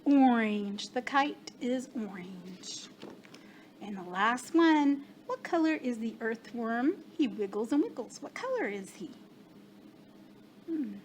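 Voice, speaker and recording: A woman teaching young children, slow and encouraging, with gasps of surprise as she reveals answers. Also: on the high side, sing-song, slight background noise. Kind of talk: children's lesson.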